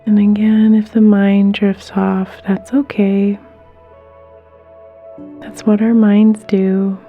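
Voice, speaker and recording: A woman leading a guided meditation in a soft, gentle, soothing way, with unhurried pauses and some breathiness. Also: mid-range voice, flat delivery, phone-quality sound, faint music behind.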